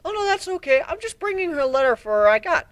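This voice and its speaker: A man voicing a cheeky, excitable young dragon boy in a storybook reading. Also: very high voice, fast talker, voice pushed up, full character voice. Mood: bashful, excited. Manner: grumbling, boyish, excitable. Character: young male dragon, cheeky, eager, easily smitten.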